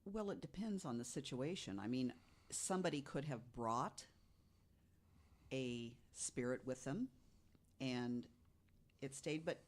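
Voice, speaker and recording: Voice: medium pitch, animated, slow pace, pauses often. A podcast panelist talking casually in a knowing, matter-of-fact manner. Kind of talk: casual discussion.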